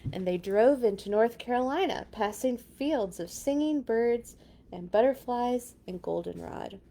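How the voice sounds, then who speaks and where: A woman's voice: a little high, lively intonation, measured pace, gravelly, warm tone. A woman reading a picture book aloud to children, with dramatic emphasis and chatty asides. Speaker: children's read-along storyteller.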